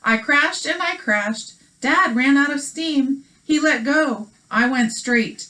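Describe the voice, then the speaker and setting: A woman co-hosting a children's read-aloud, mixing expressive storytelling with casual chat. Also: high-pitched, slow, poor audio.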